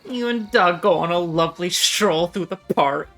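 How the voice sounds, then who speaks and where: A woman's voice: on the low side, moderate pace, fairly bright tone. A woman streaming a video game, reading its text aloud with casual asides. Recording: music behind the voice.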